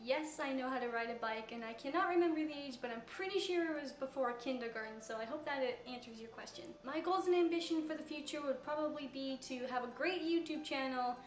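A teenage girl from Canada with a high-pitched voice, chattily answering fan questions in a casual Q&A. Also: fairly quick, hardly any pauses, reverberant, faint music behind. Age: teens. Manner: chatty, rambling with fillers. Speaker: YouTuber answering Q&A. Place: room with couch, recorded in a reacted video.

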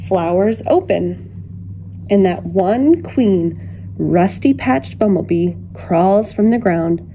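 A woman reading a children's picture book aloud with deliberate, expressive phrasing and dramatic pauses. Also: mid-range voice, lo-fi sound, slight background noise. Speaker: children's storybook reader.

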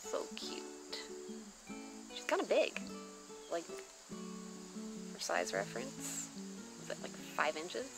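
A woman's voice: on the low side, huge pitch swings, slow, pauses often, music in the background. A woman chatting casually and exclaiming as she shows things off in an informal, gushing show-and-tell outdoors. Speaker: vlogger showing thrift finds. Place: outdoors on a patio or deck at a table.

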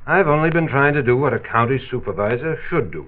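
An actor playing a middle-aged American father and thrifty county supervisor, measured and dryly argumentative, in 1940s radio drama style. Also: medium pitch, animated, close to an everyday voice. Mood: measured, earnest. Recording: muffled, narrow-band 1940s transcription disc.

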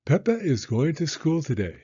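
An older man reading a children's story aloud as a storyteller, with expressive, deliberate phrasing for young listeners. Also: on the high side, breathy edge, muffled recording. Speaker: storyteller, elementary school teacher.

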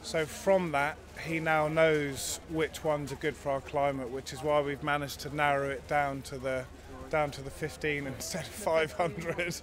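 A man's voice: a little high, steady tone, measured pace, hardly any pauses. A man in his thirties at an outdoor show stall, casually explaining his produce into a handheld interview mic, with some fillers and restarts. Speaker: garlic farm stall worker. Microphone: handheld mic held by the interviewer.

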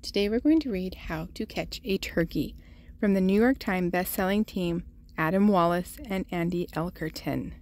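A woman hosting a children's read-aloud, telling a picture-book story in an expressive, deliberate way for young listeners. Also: mid-range voice. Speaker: host reading picture books aloud for children.

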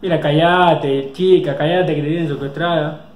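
A young man joking excitedly in slangy casual chat as he reacts to a series, from a small room on a webcam. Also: a little high, normal speed. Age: young adult. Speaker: reaction video host. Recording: echoey room.

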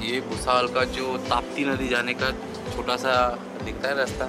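A man's voice: medium pitch, monotone, moderate pace, over loud music. A man in his forties talking casually to a handheld camera on railway platforms and inside a train, chatting as he travels. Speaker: travel vlogger. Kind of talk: casual travel vlog narration. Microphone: camera held at arm's length.

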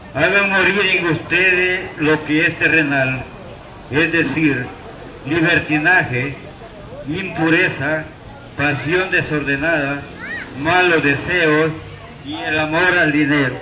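A man solemnly reading the Bible aloud, deliberately, phrase by phrase, outdoors in a public square. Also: fairly high pitch, steady tone, pushed and bright. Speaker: scripture reader. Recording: echoey, noisy outdoor recording. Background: traffic noise.

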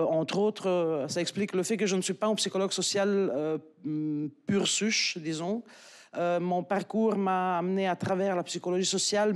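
A woman's voice: mid-range voice, a little mellow. A woman in her forties with an Italian-Belgian French accent, giving a conference talk into a handheld mic in a lecture hall, hesitant with many fillers and restarts. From Italy. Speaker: academic speaker.

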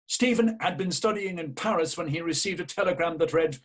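An older man on a video call giving calm, scripted narration. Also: on the high side, brisk. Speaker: actor reading aloud. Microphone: webcam on video call.

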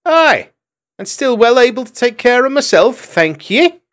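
A touchy young hedgehog maid, prim and quick to scold. Her voice climbs high and sharp when she's cross, swooping through a lively range in this storybook reading. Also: exaggerated voice. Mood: shrill. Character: stern young female hedgehog maid, easily riled.

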